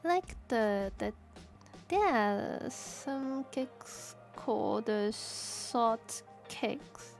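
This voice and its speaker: A woman from Hong Kong with a Cantonese accent, chatting casually on stream, halting and restarting as she searches for words. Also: on the high side, expressive, soft background music. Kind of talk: casual chat while drawing.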